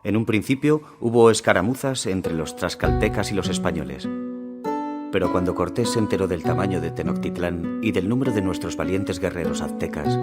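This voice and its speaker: Game cutscene narrator: male actor as grave Aztec warrior, low-pitched, solemn storytelling, Castilian accent, music underneath. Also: natural voice. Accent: Castilian Spanish. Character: grave Aztec jaguar warrior recounting his empire's fall. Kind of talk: scripted dramatic narration, storytelling.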